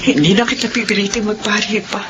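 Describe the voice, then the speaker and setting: A woman's voice: mid-range voice, fairly even intonation, fast talker, background noise, natural voice. An actress playing a remorseful middle-aged mother who pleads for forgiveness, in a classic radio drama. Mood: pleading. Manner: earnest, pleading. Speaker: mother in radio drama, narrator of her own story. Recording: dull lo-fi radio recording. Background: music.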